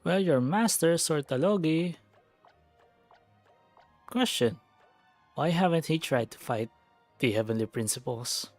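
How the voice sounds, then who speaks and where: A man's voice: fairly high pitch, expressive, unhurried, long pauses, no room sound, soft background music. A man chatting casually on a game livestream, musing aloud to himself as he plays. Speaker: game streamer. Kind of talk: casual livestream gameplay chat.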